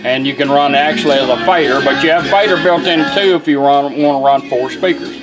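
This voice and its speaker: Folksy Southern man with slightly high voice. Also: over loud music, rough recording. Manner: plain-spoken, folksy, unhurried. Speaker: radio restorer demonstrating a product. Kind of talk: casual product demonstration.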